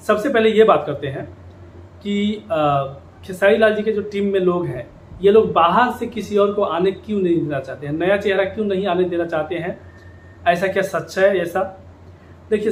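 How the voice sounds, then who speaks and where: A man delivers informal commentary straight to his viewers. He often repeats a phrase to drive it home. The room rings with echo, and there is faint noise behind him. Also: high-pitched. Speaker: YouTube commentator on Bhojpuri music industry. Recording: echoey room with background noise.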